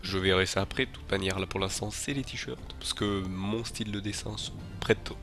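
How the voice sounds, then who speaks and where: A man's voice: lowish voice, fairly even intonation, normal speed, fairly bright tone. Man with a cold, rambling. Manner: rambling, hesitant, many filler sounds and restarts. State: has a head cold. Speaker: channel host. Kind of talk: casual video announcement to viewers.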